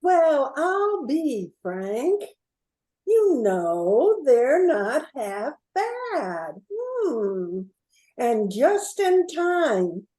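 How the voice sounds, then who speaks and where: An older woman playing a jittery small-town mayor in an amateur radio play, flustered and halting. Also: high-pitched, sing-song, warm tone, echoey room, heavily acted, low-quality recording. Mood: pleased. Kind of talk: amateur old-time radio play acting.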